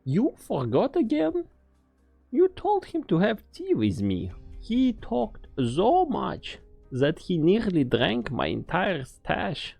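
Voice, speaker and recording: Girl doing a taunting lady scientist, slow n creaky, swoops all over, game dub. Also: mid-range voice, huge pitch swings, dark tone, soft background music, heavily acted. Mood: sly, amused. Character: sly, taunting, unhurried woman scientist, coldly amused. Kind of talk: English fan dub of an anime game.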